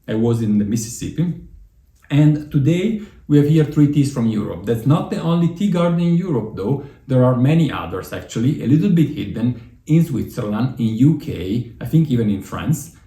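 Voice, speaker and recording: A man's voice: mid-range voice, moderate pace, roomy sound. Italian man hosting a tea tasting. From Italy. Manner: relaxed, chatty, with frequent fillers. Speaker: tea channel host.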